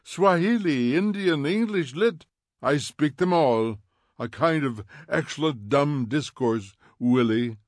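A man voicing a smug, needling fire captain who mocks in quick theatrical bursts, in an audiobook reading. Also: fairly high pitch, expressive, no room sound, clean studio sound, voice pushed up. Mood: excited taunt. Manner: taunting, theatrical, fast mocking bursts.

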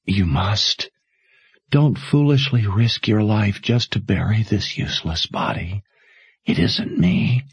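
A man voicing a dying mother, soft and whispery. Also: on the low side, slow, no room sound, pitched above the performer's own voice, heavily acted. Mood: urgent tender. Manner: soft, breathy, whispered urging. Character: dying gentle mother, tender and urgent.

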